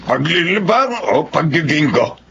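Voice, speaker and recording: A man playing an elderly Filipino grandfather, caring yet stern, with a gravelly voice in a radio drama. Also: fairly high pitch, animated, measured pace, a bit bright. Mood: stern, reproachful. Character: elderly grandfather, caring but sternly scolding his daughter. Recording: dull lo-fi audio, clear background noise.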